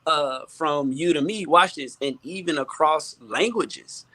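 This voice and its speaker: A man speaking as a guest producer and songwriter in a casual panel chat, halting and repeating words as he thinks, often adding "you know". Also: a little high, sharp tone, no room sound.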